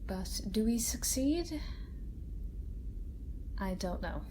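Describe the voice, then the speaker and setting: Kind female sci-fi doctor, played by a woman: soft ASMR delivery, unhurried, gentle and reassuring, faint ambient bed. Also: a little high, low-quality recording. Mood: quietly disapproving. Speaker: ASMR roleplay performer. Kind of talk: soft-spoken ASMR roleplay. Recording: steady ambient noise bed. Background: sci-fi room ambience.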